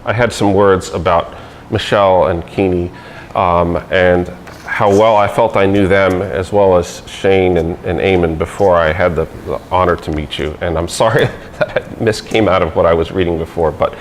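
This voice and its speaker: A man giving halting remarks at a memorial service, full of ums and restarts. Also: low-pitched, fairly even intonation, a little hiss or hum. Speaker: memorial speaker. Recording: echoey hall from far off, guitar music.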